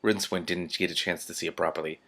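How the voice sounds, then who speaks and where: Low-voiced man reading a novel aloud. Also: fairly even intonation, fast, gravelly, sharp tone, slightly rough. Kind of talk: expressive book reading with casual reactions.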